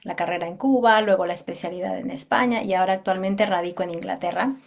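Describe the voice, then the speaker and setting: A woman's voice: fairly low pitch, steady tone, quick pace, creaky. A woman from Peru, a doctor speaking as a guest, expressively recounting her experience in conversation with frequent tag questions. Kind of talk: conversational personal account.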